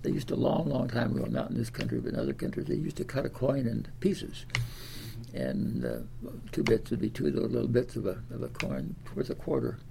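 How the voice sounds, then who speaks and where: A man's voice: medium pitch, lively intonation, a little fast, creaky, noisy background. A man of ninety from Iowa, telling his life story in an oral history interview, rambling with many fillers and restarts. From Des Moines, Iowa. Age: 90s.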